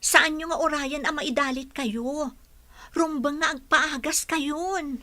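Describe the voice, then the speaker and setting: A woman's voice: high voice, steady tone, slow, sharp tone, full character voice. An actress playing a caring, persistent female caregiver in a radio drama, speaking respectfully. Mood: gently coaxing. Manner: pleading, respectful. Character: caring, persistent young female caregiver coaxing her patient. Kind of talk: Ilocano radio drama acting.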